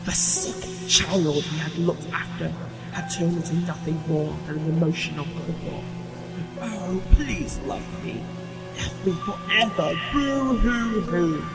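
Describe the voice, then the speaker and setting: An actor playing a cruel, mocking voice of conscience in a horror audio drama, menacing and sometimes whispering. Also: male voice, fairly high pitch, slow, vocal fry, low-quality recording. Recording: loud music under the voice.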